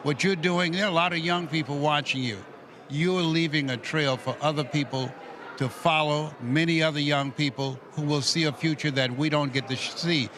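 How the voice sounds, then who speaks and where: Elderly man, eighty, bright forceful voice, fairly high pitch, preacherly, encouraging, aphoristic, motivational conversation. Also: fairly even intonation, moderate pace, slight background noise. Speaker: motivational speaker, interview guest.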